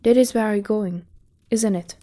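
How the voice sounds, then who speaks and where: A woman's voice: medium pitch, measured pace, warm tone, no echo, natural voice. A woman playing a composed, decisive and shrewd village advocate in a fantasy audio drama, speaking with poise. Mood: earnest, resolute. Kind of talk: fantasy audio roleplay acting.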